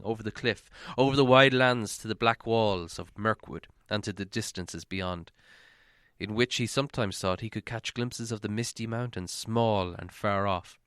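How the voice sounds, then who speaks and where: A man's voice: fairly low pitch, normal speed, a bit bright, clear, resonant tone, no room sound, studio quality. A man reading a story aloud as a dramatic audiobook narrator.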